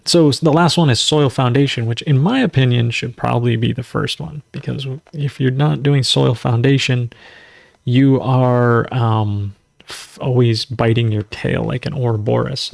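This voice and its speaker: A man with a gravelly, creaky voice hosts a casual livestream, reading farming recipes aloud. He rambles, stumbles over figures and foreign words, and laughs at himself. The sound is close and dry, with little room in it. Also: mid-range voice, no room sound. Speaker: host of a natural farming livestream.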